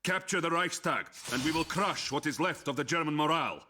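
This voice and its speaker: Forceful Soviet commander, bright voice. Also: male voice, on the high side, fairly quick, a little hiss or hum. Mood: rousing. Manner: forceful, exhorting, formal. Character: resolute Soviet army commander giving a battle briefing. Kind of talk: scripted military briefing narration.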